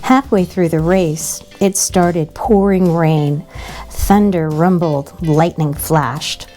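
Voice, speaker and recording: A woman narrates a picture book for children. Her voice is fairly low and mellow, and she reads expressively at a very slow pace. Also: soft background music. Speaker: children's storybook reader.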